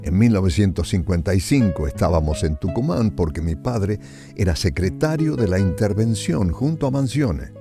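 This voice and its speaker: A man's voice: sits low, expressive, moderate pace, over music. A man in his seventies with a northern Argentine accent, narrating family memories as a storyteller with conversational asides. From Salta, northern Argentina. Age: 70s. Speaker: author reading his own stories.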